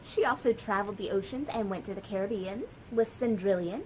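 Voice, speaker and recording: An American woman, a children's librarian, telling a story and sharing books for kids in a lively, sing-song way. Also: mid-range voice, a little fast, slight background noise, low-quality recording.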